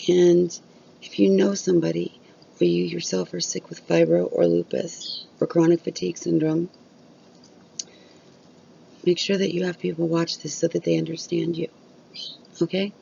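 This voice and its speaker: A woman's voice: lowish voice, level tone, moderate pace, vocal fry, pauses often, mellow, husky, highs cut off. A woman vlogging candidly about chronic illness, blunt and open, swearing freely while losing her voice. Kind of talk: candid casual vlog talk.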